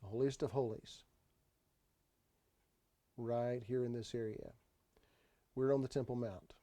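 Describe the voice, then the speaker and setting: A man giving an unscripted tour voice-over, explaining sights in a halting way and often repeating his phrases. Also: medium pitch, fairly even intonation, dark tone. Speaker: tour narrator. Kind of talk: unscripted travel video voice-over.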